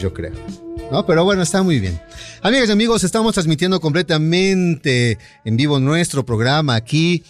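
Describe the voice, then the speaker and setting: Chatty Mexican radio host, man. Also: fairly high pitch. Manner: chatty, warm-up musings, frequent "ujú" backchannels. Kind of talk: live radio interview.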